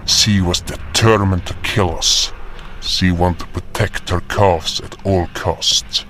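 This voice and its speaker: An actor narrating as a solemn fantasy hunter who recounts his journey in a measured, dramatic way. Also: low-pitched, fairly even intonation, pushed and bright, slight background noise, exaggerated voice. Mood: grim, dramatic. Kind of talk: scripted first-person fantasy storytelling.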